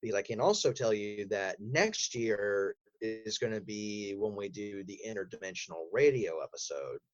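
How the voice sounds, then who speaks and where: A man chatting casually as an interview guest, telling rambling, animated stories. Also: on the low side, normal speed, no room sound. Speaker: voice actor, audio drama creator being interviewed. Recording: dry, slightly muffled close recording.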